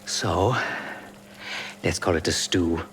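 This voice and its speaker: A man's voice: sits low, slow, a little hiss or hum. A man playing a courtly, remorseful former secret policeman turned hacker in a video game, formal and philosophical with a wry edge. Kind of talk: video game dialogue acting.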